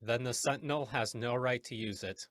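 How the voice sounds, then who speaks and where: A man's voice: mid-range voice, normal speed, fairly bright tone, no room sound, lightly acted. A man playing an offhand but firm newspaper boss in an old-time radio drama. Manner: offhand, authoritative.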